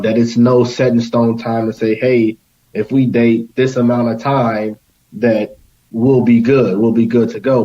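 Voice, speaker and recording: A man's voice: mid-range voice, warm tone. A young man giving his views as a roundtable guest in a casual, earnest discussion. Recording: slightly dull, lo-fi sound.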